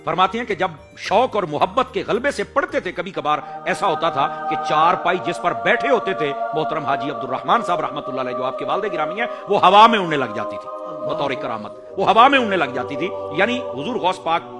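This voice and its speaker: A man's voice: fairly high pitch, very animated, brisk, over music. A man telling a devotional story in a reverent tone, repeating lines for emphasis. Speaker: religious speaker.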